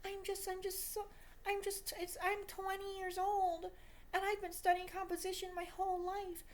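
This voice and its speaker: A woman's voice: extremely high pitch, level tone, moderate pace, pitched above the performer's own voice. A woman mockingly voicing a whiny, apologetic, self-important young composer who stammers and pleads for praise in a video diary. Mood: mock pleading.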